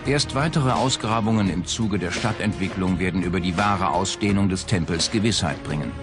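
A man with a fairly low voice delivers scripted documentary narration, with prominent music playing underneath. The reading is that of a narrator presenting a factual film. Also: normal speed. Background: loud background music.

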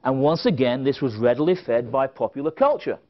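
A man's voice: mid-range voice, animated, a little fast. A middle-aged man giving a prepared conference lecture, with wry, dry humorous asides and emphasis on quoted phrases. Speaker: conference speaker. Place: indoor stage at a conference podium. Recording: slightly dull, band-limited event recording.

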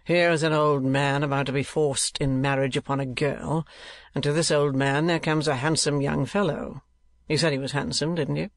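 A man voicing a cold, sardonic moneylender in a storybook reading, with dry, contemptuous, deliberate mockery. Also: on the high side, fairly even intonation, no room sound, close to an everyday voice.

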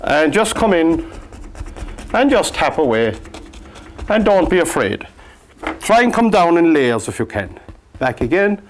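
Older cork fella teaching painting, chatty and jokey, bouncy up and down voice, lapel mic in a studio. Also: male voice, a little high, big pitch swings, unhurried, pauses often, a little hiss or hum. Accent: Cork Irish. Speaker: painting instructor. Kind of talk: on-camera painting lesson. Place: studio with easel and backdrop.

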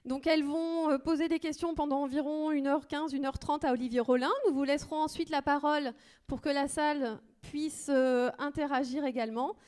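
A woman's voice: high-pitched, steady tone, brisk, a little mellow. A woman welcoming the audience and thanking partners on stage, with frequent hesitations. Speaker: event organizer. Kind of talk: introductory welcome speech. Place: theater stage.

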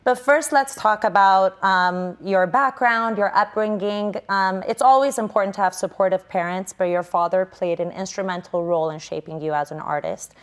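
A woman's voice: mid-range voice, moderate pace, few pauses. A young woman hosting a TV interview in a studio, upbeat and polished, with the odd "um". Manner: upbeat and polished, with some "um" fillers. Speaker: TV host. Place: indoor TV studio set.

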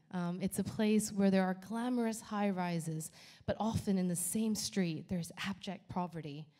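Brief: middle-aged woman, founder pitching at an event, dark mellow tone, handheld mic. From Canada. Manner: enthusiastic, with small restarts. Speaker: company founder giving a pitch. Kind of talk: prepared stage talk. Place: event venue with brick wall and audience. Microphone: handheld microphone.

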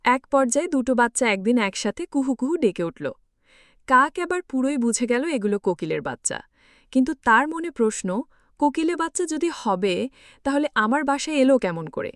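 Lively high-voiced woman storyteller. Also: normal speed, no echo, clean studio sound. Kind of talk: scripted children's story narration.

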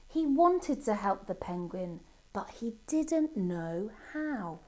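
A middle-aged woman reading a children's storybook aloud expressively, with deliberate dramatic pauses. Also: medium pitch, big pitch swings. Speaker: storybook reader. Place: indoor room by a Christmas tree. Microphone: camera a short distance away.

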